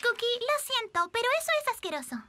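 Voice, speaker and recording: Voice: very high-pitched, sing-song, fast talker, noisy background. An actor voicing a prim, vain little girl kitten in a cartoon, with a Latin American Spanish accent. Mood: prim, fussy. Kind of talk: children's cartoon voice acting. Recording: very loud cartoon music behind.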